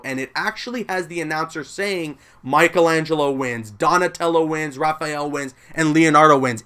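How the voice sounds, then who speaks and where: Higher-voiced man, enthusiastic gaming host. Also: fairly bright tone. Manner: enthusiastic, emphatic, conversational. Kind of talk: casual video game news commentary.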